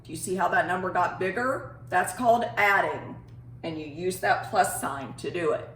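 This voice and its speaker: A woman's voice: medium pitch, a bit bright, slight background noise. A woman teaching young children in an encouraging way, with simple phrasing and questions, as in a math lesson or storytime. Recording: echoey room.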